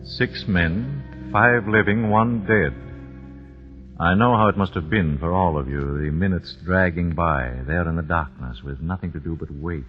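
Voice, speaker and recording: A solemn low-voiced narrator. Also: male voice, unhurried. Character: grave middle-aged father narrating. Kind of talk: 1940s radio drama narration. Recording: dull old radio recording with music.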